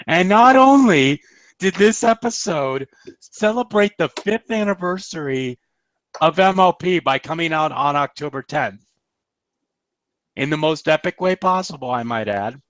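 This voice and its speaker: A man's voice: on the high side, a bit bright, no echo. An American man with a nasal, congested voice from a cold, chatting casually as a review show host. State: has a cold.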